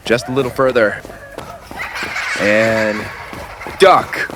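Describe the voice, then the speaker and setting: A world-weary survivor with a low, gruff voice, played by a man, speaks bluntly, like a stern mentor in a horror audio drama. There is slight noise behind the voice. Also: steady tone, drawn-out words. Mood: urgent shouting. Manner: blunt, scolding, urgent.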